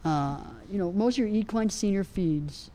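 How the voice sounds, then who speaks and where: Soft-spoken woman with a mellow voice. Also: mid-range voice, normal speed. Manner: soft-spoken, rambling with many fillers. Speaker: equine nutrition expert answering questions. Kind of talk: live panel Q&A. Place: outdoor event festival.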